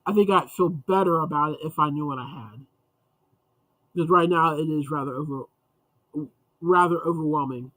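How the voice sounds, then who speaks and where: A man's voice: fairly high pitch, moderate pace, long pauses, sharp tone, no echo, low-quality recording. A man hosting a casual livestream chat, rambling and chatty with frequent restarts, sounding congested and sniffly. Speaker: livestream host.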